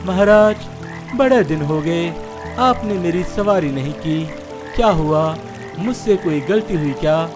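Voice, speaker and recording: A man's voice: on the high side, animated, a little slow. An actor voicing a sly old male snake in a cartoon moral story, speaking in a deferential, wheedling manner. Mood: calling, flattering. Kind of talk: animated Hindi moral story dialogue. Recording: music behind the voice.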